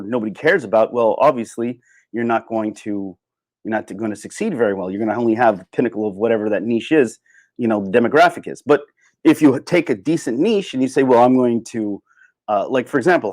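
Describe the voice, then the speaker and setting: A man's voice: mid-range voice, darkish tone, no echo. A man hosting a casual livestream, talking off the cuff in a rambling way and repeating phrases for emphasis. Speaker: livestream host. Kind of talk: casual unscripted livestream rant, reading and answering chat.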